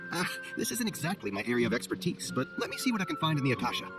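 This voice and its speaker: Nervous academic game character, bit pompous, wordy, talks quick, very animated pitch. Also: male voice, music in the background. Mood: apologetic. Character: jumpy, self-important lowly scholar, eager to excuse himself. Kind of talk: animated video game voice acting.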